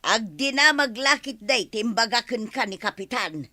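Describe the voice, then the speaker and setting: A woman's voice: fairly high pitch, lively intonation, moderate pace, noisy background, natural voice. A woman playing a scolding village peacemaker in a comedy radio drama.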